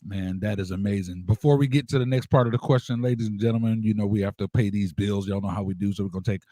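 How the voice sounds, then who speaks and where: A man's voice: lowish voice, fairly even intonation, fast talker, warm tone, no room sound. A man hosting a casual radio interview in an informal, slangy way with appreciative interjections. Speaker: radio show host.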